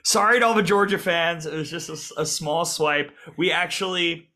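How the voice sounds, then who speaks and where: A man co-hosting a sports podcast, his voice hoarse, upbeat and quick to laugh. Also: fairly high pitch, steady tone, sharp tone. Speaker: podcast co-host.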